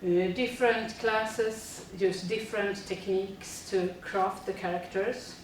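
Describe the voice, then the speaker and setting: A woman's voice: medium pitch, fairly even intonation, measured pace, very echoey, distant mic, a little hiss or hum, low-quality recording. A woman, a teacher reading a prepared presentation steadily with frequent fillers. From Sweden. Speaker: teacher presenting a school project.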